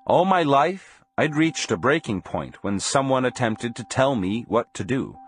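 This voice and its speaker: A man narrating a scripted first-person story in a measured, serious manner. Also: mid-range voice.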